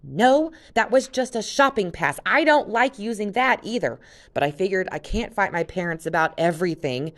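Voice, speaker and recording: A woman voicing a fierce, defiant teenage girl from a read-aloud story, talking loud and insistent. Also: medium pitch, animated, moderate pace, voice pushed up, natural voice.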